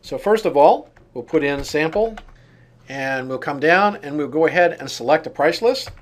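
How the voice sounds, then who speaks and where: A man narrating an on-screen software demonstration, explaining each step in turn. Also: fairly high pitch, animated, moderate pace. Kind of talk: walkthrough tutorial narration of on-screen steps.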